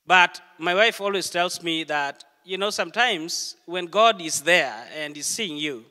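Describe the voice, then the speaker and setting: A middle-aged man from Uganda, preaching a sermon in a church and telling stories with earnest, deliberate pauses. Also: sits high, expressive, pushed and bright. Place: church hall. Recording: long hall reverb.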